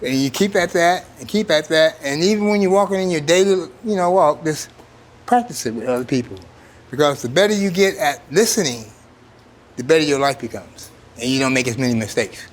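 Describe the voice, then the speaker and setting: A man's voice: on the high side, expressive, a little fast. A man speaking as a trainer in an informal workshop, plain-spoken and repeating phrases for emphasis. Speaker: trainer, program coordinator.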